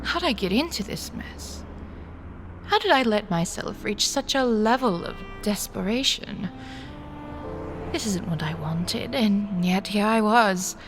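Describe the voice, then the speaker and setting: A woman's voice: mid-range voice, animated, unhurried, faint music behind. A woman playing an anxious, sardonic young woman, narrating and acting in a first-person romance audio drama. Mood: anxious, regretful. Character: anxious, sardonic young woman, broke and flustered, defiant underneath.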